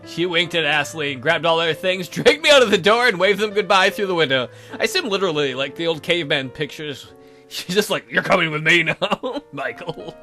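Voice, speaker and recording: A man's voice: fairly high pitch, expressive, brisk, sharp tone, soft background music. A man reading a visual novel game aloud as a let's play host, with crude, irreverent asides.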